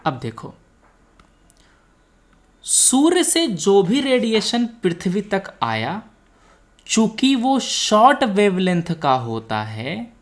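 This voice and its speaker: A woman with an Indian accent giving an explanatory lecture as a teacher, repeating key points and checking for understanding. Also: fairly low pitch. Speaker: teacher, exam coaching instructor.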